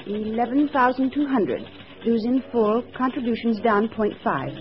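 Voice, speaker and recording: An actress playing a dutiful, efficient communist bookkeeper in a 1950s radio drama, with clipped delivery. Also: female voice, fairly high pitch, lively intonation, normal speed, close to an everyday voice. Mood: brisk, efficient. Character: brisk, dutiful female communist bookkeeper comrade. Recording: muffled old radio recording, faint hiss.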